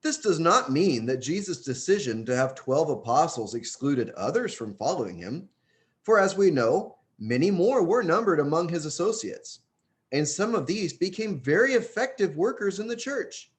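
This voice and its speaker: A man's voice: on the high side, sing-song, normal speed. A man reading a religious book aloud in an earnest, emphatic way, stressing key phrases. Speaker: audiobook reader.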